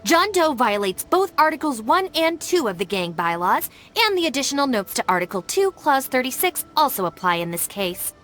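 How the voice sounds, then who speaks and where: An actress playing a stern, capable young female gang deputy in a video game, speaking in a crisp, matter-of-fact way. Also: sits high, sing-song, normal speed, few pauses, a bit bright, faint music behind.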